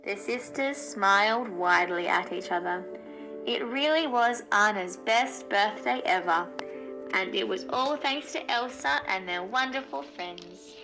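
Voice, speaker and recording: A woman narrating a children's storybook aloud in an expressive storytelling way. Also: mid-range voice, measured pace, music in the background, rough recording. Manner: expressive storytelling, acts out dialogue.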